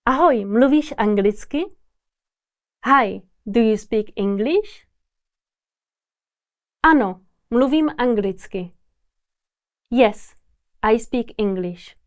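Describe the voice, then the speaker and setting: A woman teaching a scripted language lesson, repeating each word slowly with pauses. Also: fairly high pitch, expressive, no echo, clean studio sound. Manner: repeats each word slowly and deliberately, pausing between repetitions.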